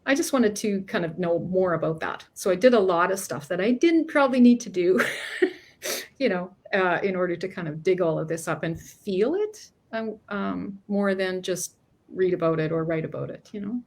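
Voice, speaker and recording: A woman's voice: lowish voice, animated, moderate pace, a little mellow. A middle-aged woman from Canada, a guest author chatting casually in an interview, often restarting sentences and laughing between thoughts.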